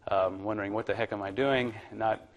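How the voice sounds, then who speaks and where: A man in his thirties from Virginia giving a relaxed, chatty university lecture to a classroom, with plenty of ums and uhs. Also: lowish voice, a little fast, narrow, tinny audio. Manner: relaxed, chatty, filler-heavy.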